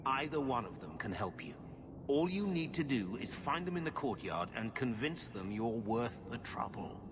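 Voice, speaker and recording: An actor playing a shady, middle-aged uncle in a video game, blunt and world-weary. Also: male voice, medium pitch, big pitch swings, moderate pace, noisy background, close to an everyday voice. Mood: grudging, persuasive. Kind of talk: video game cutscene acting.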